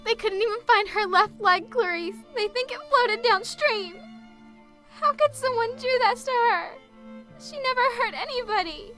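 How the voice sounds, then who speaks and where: A woman's voice: very high-pitched, measured pace, over music. A woman playing a bitter, grieving student. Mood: grief-stricken. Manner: raw, bitter, on edge. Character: grieving young female college student. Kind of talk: amateur radio drama acting.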